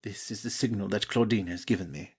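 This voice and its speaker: An actor playing a polite, cautious gentleman lover in a classic comedy audio play, speaking formally and with measure. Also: fairly low pitch, fairly quick, noisy background, lightly acted. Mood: secretive, courtly.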